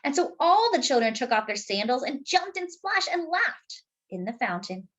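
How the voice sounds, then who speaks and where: A woman reading a picture book aloud to children, expressive and deliberate, dropping in chatty asides. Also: high voice. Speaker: children's story reader.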